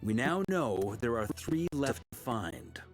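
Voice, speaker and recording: Confident knight commander, widely swinging delivery. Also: male voice, medium pitch, very animated, slow. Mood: explaining plans. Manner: rallying, commanding, theatrical. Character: confident, genial male knight commander in a fantasy adventure. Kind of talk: video game voice acting. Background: game music.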